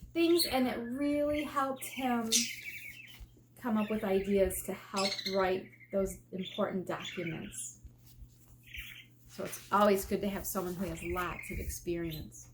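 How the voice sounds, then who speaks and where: A woman's voice: a little high, background noise. An American woman, an elementary school teacher, reading aloud to children and adding simple explanations as she goes.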